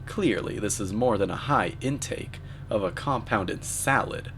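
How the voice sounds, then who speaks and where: A man delivering scripted commentary in a deadpan, mock-serious manner. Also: on the low side, normal speed, a little hiss or hum. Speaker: narrator, video commentator. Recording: voice-over with music underneath. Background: music.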